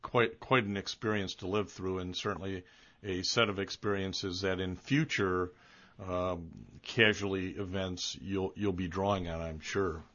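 A male interviewer with a lowish voice asks his questions haltingly, stopping and restarting often. His audio is dull and lo-fi. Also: steady tone, a bit bright. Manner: halting, many uhs and restarts. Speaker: interviewer host.

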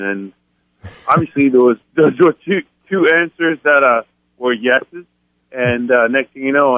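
A man from France in his thirties, speaking as a radio guest. He talks earnestly, often restarting his sentences while telling his story. His mid-pitched voice comes through a tinny, dry phone line. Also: no echo. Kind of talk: phone-in interview.

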